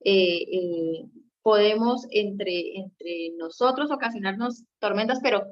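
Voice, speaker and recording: A woman's voice: medium pitch, takes it slowly. A young Colombian woman giving a conversational teaching talk as a guest, explaining things and checking for agreement as she goes. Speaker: guest speaker on a marriage radio segment. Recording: slightly dull, lo-fi sound.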